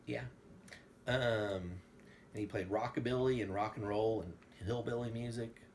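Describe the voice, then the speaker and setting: A man's voice: lowish voice, fairly even intonation, measured pace, a little mellow. A man reading aloud to his young daughter like an enthusiastic, playful father, slipping between storytelling and casual asides. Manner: enthusiastic, playful, bursts into song. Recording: noisy home recording with room ambience.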